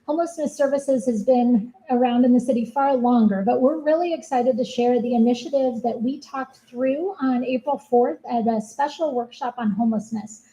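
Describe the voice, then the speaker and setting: A woman giving a soft-spoken, earnest presentation as a city program manager at a town hall meeting. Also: on the high side, moderate pace, hardly any pauses. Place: community meeting room.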